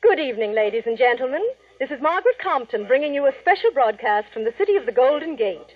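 An actress playing a scatterbrained, self-important Hollywood gossip columnist in a chatty, rambling 1940s radio style, on a vintage recording. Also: female voice, sits high, lively intonation, quick pace, narrow, tinny audio. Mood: gushing, breezy.

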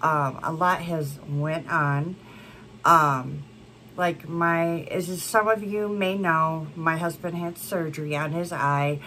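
Woman, 30s, congested, beauty vlogger, slow casual rambling, lower pitch. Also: fairly even intonation, sharp tone, a little hiss or hum. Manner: slow, rambling, many fillers. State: congested from a cold. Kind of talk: casual chat to camera. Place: home room with a plant wall and neon signs.

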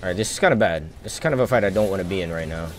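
A man's voice: low-pitched, lively intonation, very fast, slight background noise. A man giving casual live gameplay commentary as a streamer, thinking aloud in informal, slangy talk. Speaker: video game streamer.

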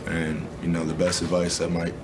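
A man in his twenties, a basketball player, answering questions at a postgame press conference in a low-key, measured way, with lots of "you know" and "um". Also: completely flat delivery, background noise. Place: press room.

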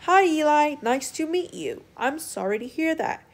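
A woman's voice: high voice, expressive, normal speed, vocal fry, pitched above the performer's own voice. Woman as a kind, courteous fishing sailor. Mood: friendly, sympathetic. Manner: friendly, courteous.

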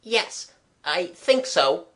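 A woman's voice: lowish voice, sing-song, extremely slow, fairly bright tone, voice pushed up, exaggerated voice. A woman voicing a cocky, matter-of-fact schoolboy in a storybook, with a blunt, slangy way of talking. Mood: confident.

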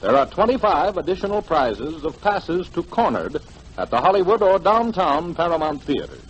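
A man announcing formally and emphatically in the style of 1940s radio promotion, with a husky voice. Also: a little high, very animated, moderate pace. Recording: dull, lo-fi 1940s radio broadcast.

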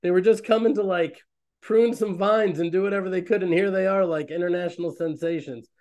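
A man in his thirties, a rabbi hosting an online fellowship, talking in a loose, rambling, animated way on a webcam from a small room. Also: sits high, a little fast. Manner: rambling, animated, self-interrupting. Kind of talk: casual online talk to a community. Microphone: webcam on a video call.

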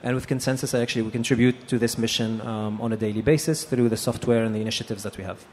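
A man in his thirties from Tunisia talks through a handheld mic on an expo hall stage. He speaks relaxed and conversational, with frequent ums, at a middle pitch. A faint hum of hall ambience sits behind him. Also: quick pace. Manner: relaxed, conversational with many fillers. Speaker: panelist. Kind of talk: conference panel talk.

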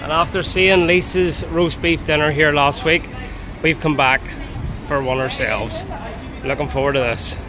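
A man's voice: fairly high pitch, fairly even intonation, measured pace, crowd noise, background noise. A man in his forties with a Northern Irish accent, casually reviewing drinks and food for his travel vlog on an outdoor terrace. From Northern Ireland. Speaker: travel vlogger. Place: open-air bar and restaurant terrace.

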